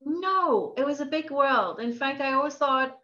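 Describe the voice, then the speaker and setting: A woman's voice: high-pitched, animated, normal speed, pushed and bright, muffled recording. A woman with a mixed international accent from Scottish and Norwegian roots, chatting as a guest scientist in a podcast interview, laughing often and using many fillers. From Norway.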